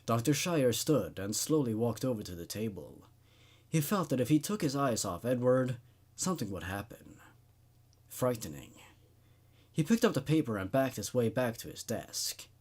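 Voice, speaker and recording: A man's voice: mid-range voice, big pitch swings, normal speed, a little mellow, slightly rough. A man reading a horror story aloud as host, in a dramatic narrating style.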